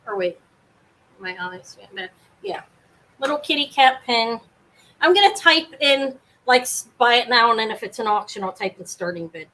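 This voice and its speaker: Brief: woman, live-sale host, loud carrying voice, rambly and self-interrupting, home setup. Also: fairly high pitch, animated, moderate pace, rough recording. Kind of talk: casual live sale chat. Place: home apartment, fan running.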